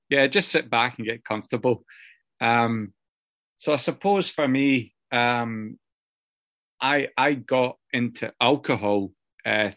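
A Scottish man telling his own story of drink and drugs, halting and candid, with many pauses, fillers and restarts. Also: on the low side, a bit bright, no room sound. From Scotland. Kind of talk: personal recovery story, casual monologue.

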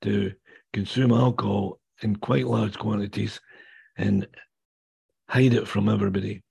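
A man in his sixties with a raspy voice from damaged vocal cords, speaking plainly and bluntly as a guest speaker telling his own story. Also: lowish voice, flat delivery, a little slow, darkish tone. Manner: plainspoken, blunt, mild swearing. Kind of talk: personal testimony talk.

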